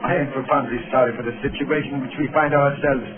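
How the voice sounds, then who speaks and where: A man's voice: medium pitch, fairly even intonation, quick pace, a crowd in the background, natural voice. Man as grave captive scientist, tinny old radio. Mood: earnest. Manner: precise, formal, earnest. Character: grave, formal scientist doctor held captive. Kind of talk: 1930s radio acting. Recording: tinny 1930s radio recording with background clamor.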